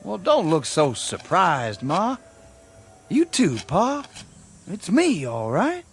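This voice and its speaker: A man's voice: high voice, wildly swooping pitch, slow pace, faint music behind, exaggerated voice. An actor playing a plain-spoken, indignant young country man in a radio drama, with a folksy rural American accent. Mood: easygoing. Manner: folksy, incredulous.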